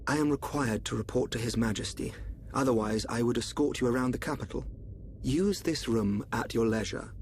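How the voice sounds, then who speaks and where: A man playing a calm, enigmatic royal seer in a video game, formal and assured. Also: mid-range voice, normal speed, slight background noise, lightly acted. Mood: composed, reassuring. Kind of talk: dramatic video game voice acting.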